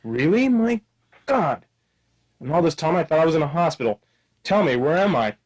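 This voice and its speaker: Man playing a husky, bumbling radio-play action hero. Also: fairly high pitch, big pitch swings, moderate pace, a little mellow. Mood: amazed. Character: bumbling, excitable amnesiac male action hero, cheerfully clueless. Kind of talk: comic radio play acting.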